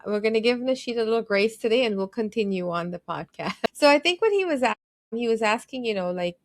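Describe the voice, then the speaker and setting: A woman's voice: on the high side, animated, brisk, dry recording, studio quality. A woman from Guyana hosting a remote podcast, asking long, winding, earnest questions. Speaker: podcast host. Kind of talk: conversational interview hosting. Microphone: remote call recording.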